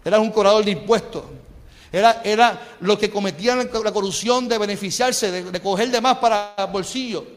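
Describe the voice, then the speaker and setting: High-voiced Puerto Rican preacher. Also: male voice, moderate pace, big-room sound. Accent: Puerto Rican Spanish. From Puerto Rico. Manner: impassioned, calls the congregation for amens. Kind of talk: sermon. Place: church sanctuary.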